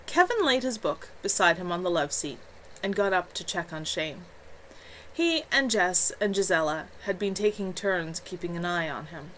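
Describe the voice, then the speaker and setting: A woman reads her own novel aloud at home, telling the story in a measured, even way. A faint hiss of noise sits under her voice. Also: fairly low pitch, low-quality recording. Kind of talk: live read-aloud narration.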